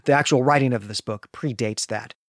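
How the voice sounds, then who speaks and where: A man with a medium-pitched voice hosts a literary podcast. He explains things in a measured, orderly way. The sound is dry, with very little room in it. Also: dry recording. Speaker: podcast host. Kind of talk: literary discussion.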